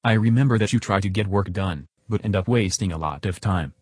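A man's voice: on the low side, fairly quick, a little mellow, slightly rough, dry recording, studio quality. A man voicing an earnest, agreeable, curious chatbot companion in a reenacted interview.

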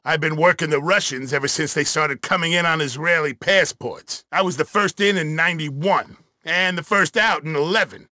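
A world-weary veteran male spy from a video game, indignant and suspicious. His voice is fairly high and very forceful, gruff in manner, recorded dry. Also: no room sound.

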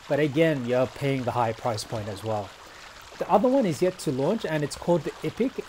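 A young man narrating a kayaking speed test in a measured, explanatory voice-over. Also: fairly high pitch, darkish tone, slight background noise. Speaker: kayaking vlogger. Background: faint background music.

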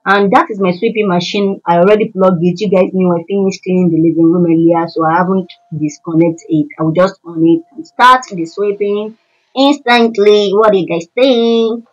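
A woman's voice: medium pitch, fairly even intonation, moderate pace, lo-fi sound. A woman working as a housemaid, chatting casually to viewers and explaining each task as she cleans tiled rooms in a large home. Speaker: housemaid filming her cleaning routine. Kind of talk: casual vlog narration to viewers.

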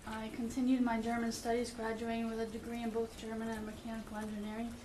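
A middle-aged woman reading a letter aloud at a school meeting, steady and careful, with occasional fillers. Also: a little high, fast talker. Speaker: parent speaking at public comment. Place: meeting room with audience. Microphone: far room camera. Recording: echoey room, distant camera, background noise.

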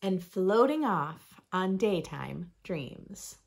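A woman in her thirties reading aloud expressively for young children, with playful emphasis and deliberate phrasing. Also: fairly low pitch, big pitch swings, creaky, breathy edge. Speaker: host of a children's read-aloud channel. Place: small furnished room indoors.

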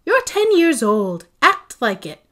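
Brief: woman reader doing an upbeat artsy mom, coaxing and bright, higher voice with lively swings in pitch. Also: unhurried. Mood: exasperated. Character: cheerful dreamy artist mother, woman.

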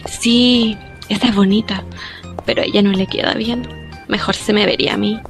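Woman as a catty young Chilean gossip, creaky voice. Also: mid-range voice, steady tone, normal speed, faint music behind, close to an everyday voice. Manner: chatty, colloquial. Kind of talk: radio drama acting.

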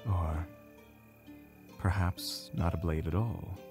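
A man playing a composed, dryly self-assured mage, explaining in a measured way in an audio drama. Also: low voice, fairly even intonation, darkish tone. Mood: grave, analytical. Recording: music playing behind. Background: background music.

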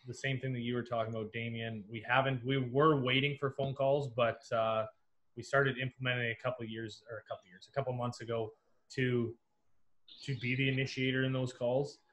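A young American man talks as a guest on a builders' panel. His voice sits at an average pitch, and he explains things casually over a video call from a small office. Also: a bit bright. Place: small office with whiteboard wall. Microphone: computer mic on video call.

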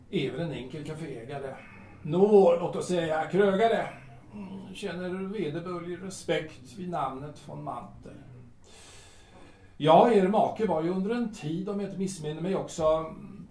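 An actor playing a bossy yet courteous café owner in a period audio drama, humming and hawing between phrases. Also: male voice, fairly high pitch, mellow, close to an everyday voice. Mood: sympathetic, musing. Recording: echoey room.

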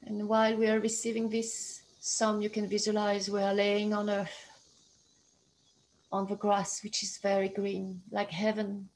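Woman, meditation guide. Slow, soothing delivery with a flat, steady pitch. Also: low-quality recording. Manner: slow, soothing, hushed and even.

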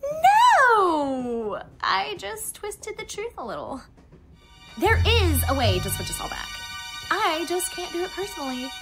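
Woman as a high, haughty cartoon unicorn. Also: wildly swooping pitch, a little slow, over loud music, full character voice. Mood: defensive, scheming. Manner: haughty, theatrical. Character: haughty, boastful young female unicorn, self-centred and whiny. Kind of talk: fan cartoon voice acting.

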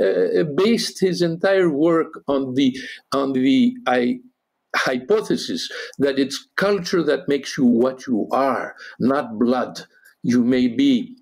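A man speaking as a guest on a discussion podcast, halting with many fillers and rising sharply in pitch when quoting others. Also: creaky, darkish tone, breathy edge. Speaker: guest historian. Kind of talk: animated discussion, podcast panel.

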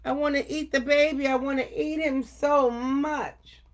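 An exuberant storybook grandmother acted by a woman reading aloud, high and sing-song. She is doting and playful, and she calls out loudly. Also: fairly even intonation, unhurried.

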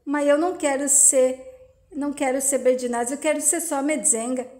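A woman's voice: high-pitched, steady tone, moderate pace, mellow, lightly acted. A woman reading as a sweet young lover. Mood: tender.

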